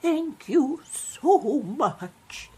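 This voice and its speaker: An actress playing a poor woman, soft-spoken and tearful with gratitude, in a radio drama. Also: high-pitched, very animated, extremely slow, a little hiss or hum, heavily acted. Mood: grateful. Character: grateful poor woman in the cold.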